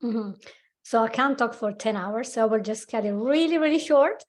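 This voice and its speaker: A woman's voice: fairly high pitch, normal speed. A woman in her forties with an Italian accent, a coach talking animatedly as a podcast guest, laughing often and speaking slightly broken English. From Italy. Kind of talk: casual interview chat.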